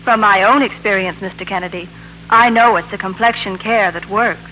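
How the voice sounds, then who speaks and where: Woman, bright clear-cut tone, prim, rehearsed 1940s radio testimonial, faint hiss. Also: mid-range voice, moderate pace, dull audio. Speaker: film studio actress, commercial guest.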